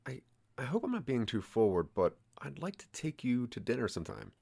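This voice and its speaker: A man's voice: mid-range voice, sing-song, brisk, gravelly, darkish tone, husky, heavily acted. A man playing a guarded, flirty tech hobbyist in an audio drama, bantering with slight awkwardness. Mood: shy, hopeful.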